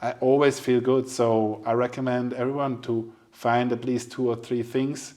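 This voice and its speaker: A man hosting a podcast, speaking softly and hesitantly, with many fillers as he shares a memory. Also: mid-range voice, flat delivery, moderate pace. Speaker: podcast host. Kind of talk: casual conversation.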